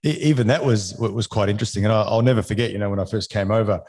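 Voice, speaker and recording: A man's voice: fairly low pitch, very fast, darkish tone, soft background music. A man in his forties from Egypt whose first language is French, a podcast guest talking casually, often restarting and ending sentences with "right?". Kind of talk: casual interview conversation.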